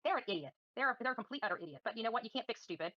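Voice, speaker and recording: Defiant lady on a livestream, talks real fast and scornful, dull lo-fi sound. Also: a little high, expressive, dry recording. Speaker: livestream host. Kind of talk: livestream commentary, answering viewer comments.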